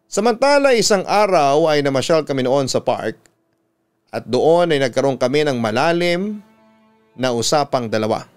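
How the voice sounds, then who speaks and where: A man with a Filipino accent, a radio announcer reading a scripted first-person story in a measured, dramatic storytelling delivery. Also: medium pitch, very animated, clean tone. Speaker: radio announcer and host reading listener stories. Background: instrumental music.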